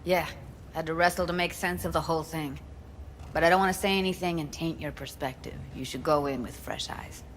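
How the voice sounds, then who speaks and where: A woman's voice: deep voice, fairly even intonation, fairly quick, natural voice. An actress voicing a jaded, frank, protective young woman tech expert in a video game, with some noise behind her. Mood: matter-of-fact. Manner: frank, weary, informal.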